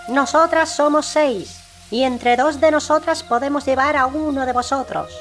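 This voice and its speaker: A woman's voice: sits high, fairly even intonation, normal speed, faint music behind, close to an everyday voice. A woman voicing a giant owl messenger, solemn and deferential, with formal, ceremonious speech in an audiobook. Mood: humble, reverent. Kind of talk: audiobook character acting. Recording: roomy echo, noisy background.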